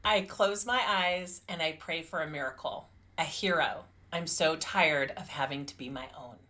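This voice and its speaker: A woman's voice: low-pitched, normal speed, sharp tone. A woman co-hosting a book review podcast, informal, enthusiastic and agreeable, sometimes reading short quotes aloud. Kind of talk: casual book chat with read-aloud quotes.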